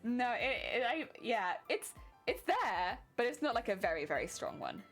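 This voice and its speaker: A woman's voice: on the high side, very animated, normal speed. A woman with a British accent chatting casually on a craft live stream, giggling and muttering to herself as she works. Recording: loud music playing under voice. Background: loud background music and sewing machine.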